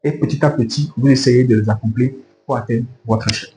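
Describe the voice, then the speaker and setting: A man with a Beninese accent speaks as an interview guest at a middle pitch. He explains his points earnestly, one after another. The room is audibly echoey, with a faint layer of noise behind him. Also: fairly even intonation, fairly quick, dark tone. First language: a local language of Benin. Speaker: interview guest, fashion professional. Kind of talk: spontaneous interview answers with advice. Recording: roomy echo with faint background noise.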